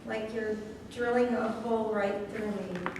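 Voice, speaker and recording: A woman's voice: mid-range voice, fairly even intonation, unhurried, natural voice. Woman playing a distraught woman, echoey stage reading. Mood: confused, wary. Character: distraught, confused, accusing woman confronting a killer. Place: large room at a staged reading. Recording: echoey room, far mic, faint noise.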